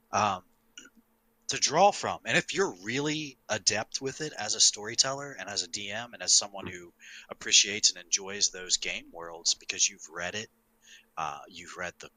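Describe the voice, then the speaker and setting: A man who is high, in an emphatic, sweary, casual discussion of tabletop gaming as a game master. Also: moderate pace, sharp tone, no echo, poor audio. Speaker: podcast panelist and game master.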